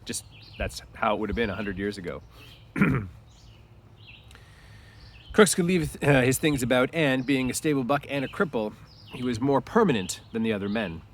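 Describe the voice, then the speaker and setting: Male read-aloud narrator, medium pitch, teacherly and explanatory, informal asides, some throat-clearing. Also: animated, moderate pace, pauses often, slight background noise.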